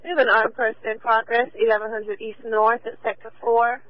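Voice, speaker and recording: A woman's voice: a little high, little pitch movement, a little fast, slight background noise. A woman working as a police dispatcher, relaying calls procedurally over a two-way radio. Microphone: radio dispatch microphone, heard over scanner. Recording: tinny, narrow-band police scanner radio.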